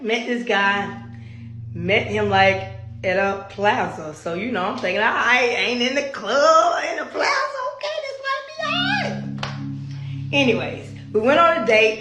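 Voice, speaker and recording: Female vlogger, hoarse from bronchitis. Sassy and chatty, laughs often, recorded in a roomy space. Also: a little high, fairly bright tone. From Atlanta. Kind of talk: story time vlog.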